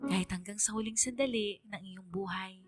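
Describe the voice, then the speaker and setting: A woman's voice: medium pitch, fairly even intonation, soft background music, lightly acted. A woman playing a devoted teenage daughter, narrating her story as a heartfelt first-person letter in a radio drama. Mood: grieving. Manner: heartfelt, earnest, dramatic pauses.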